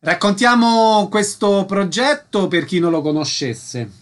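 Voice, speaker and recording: Higher-voiced male radio host. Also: animated, a little slow. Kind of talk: scripted radio presenting and interviewing.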